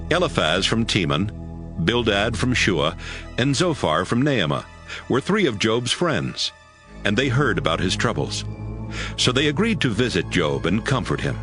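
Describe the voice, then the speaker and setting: A man narrating a dramatized Bible as a scripted storyteller. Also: on the low side.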